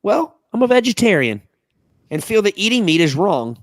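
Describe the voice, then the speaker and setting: A man's voice: high voice, big pitch swings, moderate pace. A man hosting a podcast, reading a listener's post aloud with asides. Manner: reads with frequent laughing breaks and asides.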